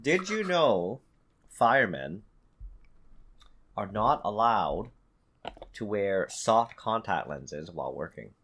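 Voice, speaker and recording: A man's voice: on the low side, very animated, slow pace, long pauses, a bit bright. A man co-hosting a gaming podcast, bantering casually with friends and swearing bluntly.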